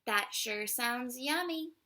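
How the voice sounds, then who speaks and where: An eager little boy from a storybook, voiced by a woman reader. The voice is high and bright, wide-eyed and polite, given to delighted sighs over food. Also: lively intonation, slow, full character voice.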